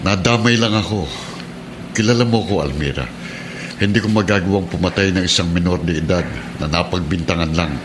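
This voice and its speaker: A man's voice: deep voice, brisk, noisy background, close to an everyday voice. A man playing a troubled, earnest policeman husband with a Filipino accent in a radio drama.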